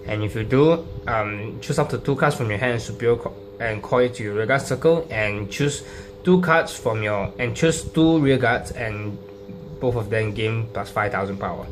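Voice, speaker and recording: A man's voice: on the low side, normal speed. Man explaining cards over noisy, humming recording. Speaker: trading card game player presenting a deck profile. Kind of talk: casual unscripted card-by-card explanation. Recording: clearly noisy home recording with hum.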